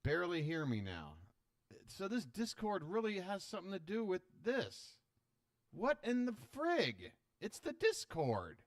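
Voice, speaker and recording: A man hosting a live stream, rambling and self-deprecating in casual reaction commentary, with a booming voice and a slight wet lisp, swearing casually, on a desk microphone. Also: huge pitch swings, unhurried, no room sound, studio quality.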